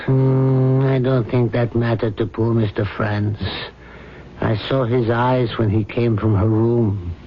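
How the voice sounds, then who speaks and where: A man plays a loyal, impudent manservant in an old radio play. He has a mid-pitched voice and a folksy, wry way of telling things, on a dull broadcast recording. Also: steady tone, takes it slowly, a little hiss or hum, lightly acted. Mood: teasing. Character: loyal, cheeky manservant of a rabbi. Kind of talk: radio drama acting and storytelling.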